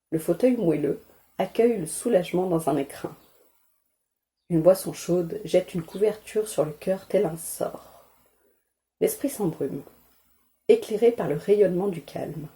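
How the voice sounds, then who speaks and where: Soft-spoken asmr lady reading poems, super mellow and kinda low voice, calm and unhurried. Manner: measured, deliberate, soothing delivery.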